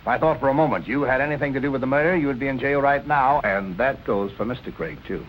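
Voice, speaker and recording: A man's voice: mid-range voice, lightly acted. Noisy old film, man playing a probing police captain. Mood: cool, insistent. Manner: measured, courteous, persistent questioning. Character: probing, coolly polite police captain questioning murder suspects. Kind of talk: 1940s crime film acting.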